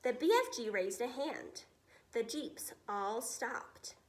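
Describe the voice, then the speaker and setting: A woman narrating a children's storybook expressively, with dramatic, deliberate emphasis. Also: on the high side. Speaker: teacher reading a children's book aloud.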